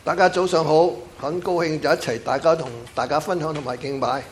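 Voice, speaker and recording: Cantonese guy interpreting the sermon, talks fast, slightly high voice. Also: fairly even intonation, a little hiss or hum. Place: church sanctuary.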